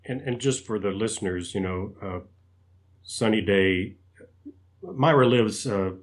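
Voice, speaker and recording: A man's voice: fairly low pitch, measured pace. A man hosts an interview, asking long, rambling, circling questions with many restarts. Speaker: podcast host, interviewer. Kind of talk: conversational interview.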